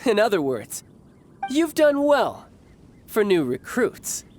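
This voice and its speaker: A man's voice: sits high, wildly swooping pitch, slow, a little mellow, slight background noise, exaggerated voice. A young man playing a cocky, playful warrior and doting big brother in a video game, theatrical, teasing and commanding. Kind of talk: scripted video game acting.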